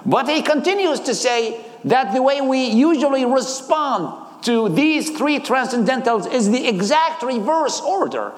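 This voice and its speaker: A man in his thirties from Iraq with an Iraqi accent, giving a sermon and personal testimony. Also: extremely high pitch. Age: 30s. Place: large hall, live talk. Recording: echoey hall with long reverb.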